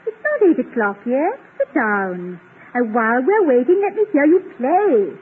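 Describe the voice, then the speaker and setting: On a scratchy, band-limited radio recording, a poised English wife with a very high, lilting voice. She is arch and dry, gently teasing in an English accent. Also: sing-song, moderate pace. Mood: calm, teasing. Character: playful, teasing, poised wife, a former English stage actress. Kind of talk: old-time radio situation comedy acting. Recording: scratchy, narrow-band old radio broadcast.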